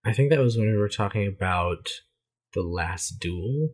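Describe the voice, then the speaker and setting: Deep male voice with a rough, husky edge, measured and conciliatory, casual co-host chat. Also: lively intonation, darkish tone. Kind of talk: casual conversation.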